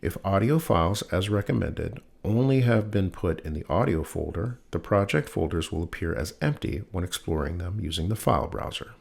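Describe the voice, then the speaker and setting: A man reading scripted technical text in a soothing, measured voice with deliberate pauses, as the host of a sleep-aid reading. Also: low-pitched, expressive, vocal fry, darkish tone.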